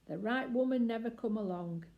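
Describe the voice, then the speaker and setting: A woman's voice: on the high side, normal speed, creaky, lo-fi sound, voice pushed up. A woman voicing a friendly, earnest male burglar in a children's storybook, with colloquial working-class speech.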